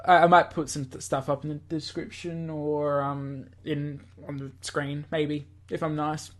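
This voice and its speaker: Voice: medium pitch, level tone, unhurried. A gaming commentator hosting a let's play, rambling and joking, often stumbling over and repeating words while reading a visual novel aloud.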